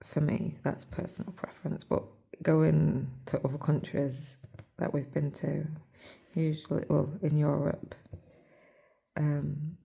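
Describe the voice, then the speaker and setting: An English woman with a hoarse, rough voice, talking softly and almost in a whisper into her phone while she stitches. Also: low voice, flat delivery, slow pace. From England. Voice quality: soft, hushed, near-whispered voice. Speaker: cross-stitch vlogger. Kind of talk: casual chat while stitching.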